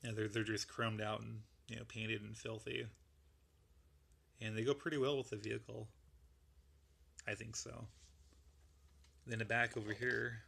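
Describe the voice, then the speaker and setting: A man with a gravelly voice that is going, casually rambling through a show-and-tell of a model build. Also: on the low side, flat delivery, measured pace, long pauses, bright, forceful tone. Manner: rambling, laid-back, lots of fillers. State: losing his voice.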